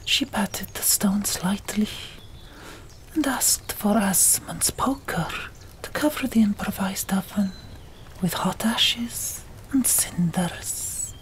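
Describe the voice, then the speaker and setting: A woman narrating an audiobook in a breathy ASMR whisper, hushed and deliberate, pausing between short phrases. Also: medium pitch.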